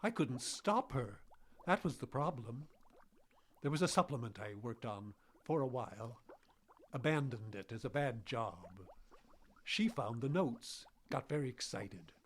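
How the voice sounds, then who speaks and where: An actor playing an elderly, guilt-ridden scientist with failing memory, speaking formally and haltingly in a radio drama. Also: male voice, medium pitch, lively intonation, close to an everyday voice. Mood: regretful, anguished. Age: older. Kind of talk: live radio drama acting. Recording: noisy live radio drama recording.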